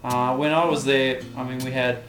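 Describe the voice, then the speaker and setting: Australian male skater, casual reflective interview delivery, mid-pitched, roomy sound with guitar music underneath. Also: steady tone, normal speed. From Tasmania, Australia. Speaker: professional vert skater, interviewee.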